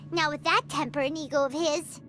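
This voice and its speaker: A woman voicing a tiny, chatty, blunt childlike girl companion in a fantasy game, with a squeaky, exclamatory delivery. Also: fairly even intonation, brisk. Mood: scornful, matter-of-fact. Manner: exclamatory, refers to self in third person. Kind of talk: animated fantasy game dialogue. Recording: music behind the voice. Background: loud game music.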